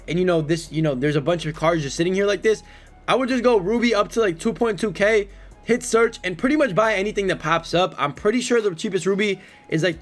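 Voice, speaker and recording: Young male gaming YouTuber, hoarse, high-voiced, slangy and hyped. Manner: energetic, slangy, keeps calling viewers bro and man. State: hoarse, lost voice. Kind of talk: casual gameplay commentary.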